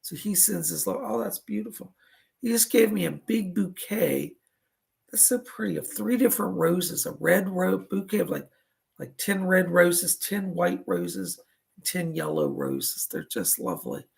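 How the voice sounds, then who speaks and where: A man with a raspy voice, a psychic medium giving live readings in a soothing, reassuring, chatty way. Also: high-pitched, sing-song, measured pace.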